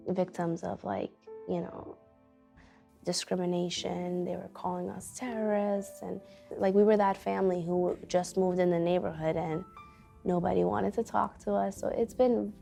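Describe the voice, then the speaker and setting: A young woman who is a teacher, telling her family's story in an earnest, halting way with many fillers. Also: lowish voice, gravelly, dark tone. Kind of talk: personal storytelling interview. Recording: faint background music.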